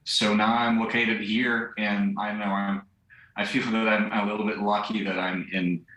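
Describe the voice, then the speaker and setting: Halting Ukrainian man, echoey remote link. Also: lowish voice, level tone, bright, forceful tone. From central Ukraine. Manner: halting, searches for words, restarts. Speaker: volunteer driver interviewed live. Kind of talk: live remote news interview.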